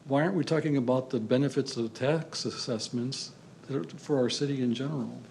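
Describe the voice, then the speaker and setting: An older man with a gravelly, slightly creaky voice speaks up at a public hearing. He sounds earnest and rambling, circling around his question. Faint room noise sits underneath. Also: medium pitch, brisk, darkish tone. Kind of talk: public comment.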